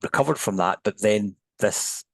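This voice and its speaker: A man's voice: fairly low pitch, normal speed, gravelly, fairly bright tone, no echo. A Scottish man, a neuroscientist guest, explaining research on a podcast in a thoughtful, hedging way with frequent restarts. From Scotland. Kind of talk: podcast interview.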